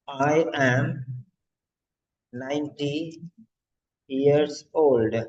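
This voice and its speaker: Frail ninety-year-old client, as a man reads him out, slow halting phrases, dull lo-fi sound. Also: on the high side, steady tone, darkish tone.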